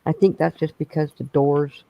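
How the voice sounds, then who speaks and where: Gravelly man, chatty and rambling. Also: fairly high pitch, flat delivery, normal speed, dry recording, highs cut off. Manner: rambling, storytelling with restarts. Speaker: bingo stream co-host. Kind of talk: casual chat.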